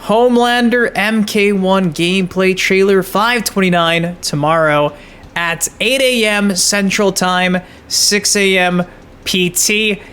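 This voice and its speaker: A man with a high-pitched voice hosts gaming news commentary. He is excitable and emphatic, talking in casual slang as if to regular followers. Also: takes it slowly, clean tone. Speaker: gaming channel host.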